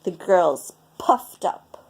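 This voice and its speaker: A woman reading a picture book aloud in an expressive, dramatic storytelling manner for children. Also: very slow.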